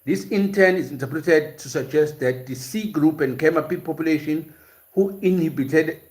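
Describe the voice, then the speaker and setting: Male host, slightly high voice, unscripted live lecture, stop-start delivery with many fillers. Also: normal speed, a little mellow. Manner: explanatory, hesitant, with frequent "uh" and repeated words. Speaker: host of a language and culture series. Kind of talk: live-stream lecture, reading chat and answering viewers.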